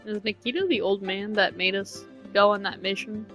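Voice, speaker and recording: A woman's voice: medium pitch, fairly even intonation, normal speed, a bit bright. Woman casually commentating over faint music. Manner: offhand, blunt, muttering to self. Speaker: game streamer. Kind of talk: casual live gameplay commentary.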